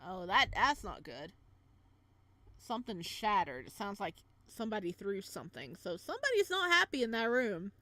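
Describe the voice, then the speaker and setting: A woman hosting a casual visual novel playthrough, reading narration aloud and adding playful, expressive side comments. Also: mid-range voice, normal speed, no room sound. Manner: expressive, playful reactions between read passages.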